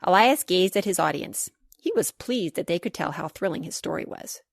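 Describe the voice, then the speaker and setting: Woman reading a kids chapter book, lively expressive storytelling, nice dry recording. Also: fairly low pitch, normal speed, dry recording. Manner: expressive, clearly paced storytelling. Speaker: author reading her children's book. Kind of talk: scripted storybook narration for children.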